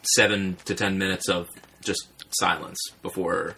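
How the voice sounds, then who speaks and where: A man co-hosting a comedy podcast, chatting casually in an animated, mock-earnest way. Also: sits low, measured pace, creaky, a little hiss or hum. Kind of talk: casual improvised banter.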